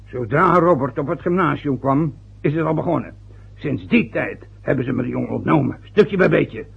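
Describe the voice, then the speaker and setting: A man's voice: on the high side, normal speed, creaky, husky, exaggerated voice. An actor playing a stubborn, wounded older father who lectures and grumbles with hesitations, in a vintage radio play. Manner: aggrieved, lecturing, rambling with hesitations. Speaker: father of a newly married son. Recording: dull lo-fi old radio recording.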